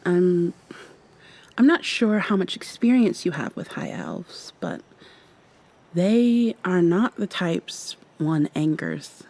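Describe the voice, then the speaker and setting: Woman playing a slow, soft-spoken, tender vampire girlfriend. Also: lowish voice, lively intonation, exaggerated voice. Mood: serious, explanatory. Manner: soft, tender, unhurried, thoughtful pauses. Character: gentle, affectionate young female vampire confiding in her girlfriend. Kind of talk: intimate ASMR roleplay.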